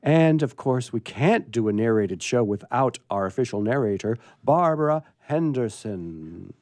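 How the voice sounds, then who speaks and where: A man hosting a radio show casually in a studio, playful and a bit halting, with theatrical emphasis. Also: medium pitch, expressive, a little mellow, no echo. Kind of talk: casual, unscripted introduction.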